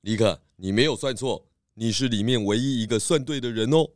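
A man playing a calm, kindly pig detective in a children's audio drama, patiently explaining and gently instructing. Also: medium pitch, a little fast, no room sound, clean studio sound, lightly acted. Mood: approving, explaining.

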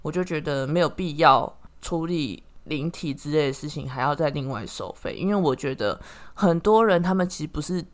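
This is a podcast host with a Taiwanese Mandarin accent and a medium-pitched voice. The talk is casual and reflective, with points explained at length. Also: normal speed. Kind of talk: casual chat.

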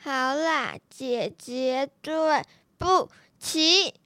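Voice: high voice, animated, drawn-out words, sharp tone, no echo, clean studio sound, heavily acted. A child acting a playful, mischievous little brother in a radio drama. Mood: sulky.

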